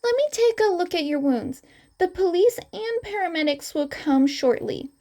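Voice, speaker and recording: Woman voicing a high, light young male vigilante. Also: lively intonation, moderate pace, darkish tone. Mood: polite, caring. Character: calm, reassuring young male winged vigilante.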